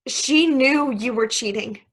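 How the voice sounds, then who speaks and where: A woman's voice: fairly high pitch, takes it slowly, fairly bright tone, exaggerated voice. A woman reading the part of an emotional, hesitant, guilt-ridden young woman in a stage play. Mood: hurt, accusing.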